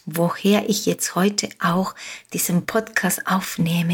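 Woman, Spanish accent, motivational podcast host, unhurried and slightly husky. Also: lowish voice. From Uruguay. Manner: slow, repetitive, emphatic, inspirational. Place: home studio on an island.

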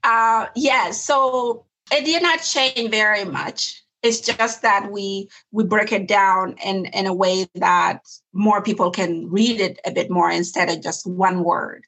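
A woman's voice: medium pitch, slow pace, fairly bright tone, low-quality recording. A woman from Sudan, a nonprofit founder speaking earnestly and reflectively in an interview, often restarting her sentences. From South Sudan. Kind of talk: interview conversation.

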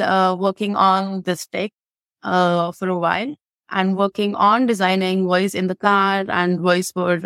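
A woman's voice: medium pitch, no echo. A woman from India, a guest on a podcast, explaining with examples and tags like "right?". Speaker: interview guest, AI expert. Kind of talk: casual interview chat.

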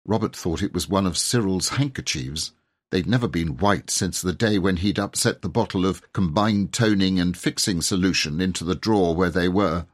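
A man narrating a children's storybook expressively, with measured, dramatic emphasis. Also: low-pitched. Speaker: audiobook narrator.

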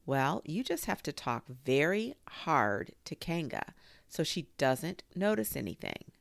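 A woman voicing a bossy, confident male rabbit in a children's storybook, brisk and emphatic as he gives instructions. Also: sits low, sing-song, vocal fry, no room sound, heavily acted.

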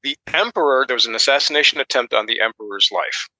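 A man's voice: medium pitch, quick pace, sharp tone, no echo, rough recording. A man co-hosting a podcast, giving a casual book summary in an informal, tentative way. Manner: tentative, informal, hedging as he explains. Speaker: podcast co-host.

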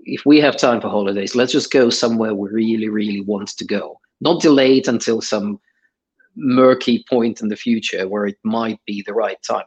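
Husky, low-voiced man answering at length. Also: rough recording. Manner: hesitant, many fillers and restarts. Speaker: guest. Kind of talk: conversational interview answers.

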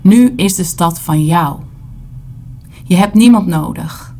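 A woman performing a literary audio play, narrating in short, deliberate dramatic phrases. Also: fairly low pitch, warm tone. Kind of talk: literary spoken-word narration in second person.

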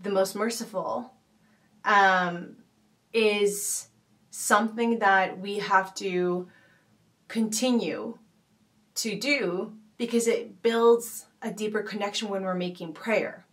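A woman hosting a podcast, speaking in a thoughtful, casual way with frequent pauses, fillers and restarts. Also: medium pitch, far from the mic. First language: not Arabic. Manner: thoughtful, many fillers and restarts, gentle laughs. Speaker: podcast host. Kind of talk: casual reflective talk.

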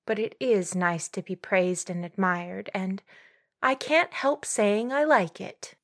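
A woman's voice: mid-range voice, takes it slowly, a bit bright. Actress as an earnest, bashful young woman confessing. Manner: confessional, self-reproachful. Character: earnest, bashful young woman confiding guiltily in her mother. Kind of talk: dramatized audiobook character acting.

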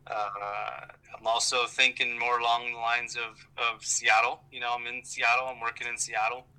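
An American man with a strikingly bright, forceful voice and a middle pitch. He talks casually and swears freely, rambling in a wry, self-deprecating way. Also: little pitch movement, normal speed, smooth, poor audio. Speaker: golf vlogger and amateur golfer. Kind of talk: casual phone chat, profane.

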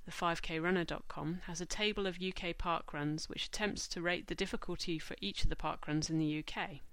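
A woman hosting a podcast, stuffy from a cold, speaking in a measured, informative way. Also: on the low side, a bit bright, clear, resonant tone, no room sound. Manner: measured, informative, reads lists slowly. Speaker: podcast host. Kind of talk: scripted podcast monologue.

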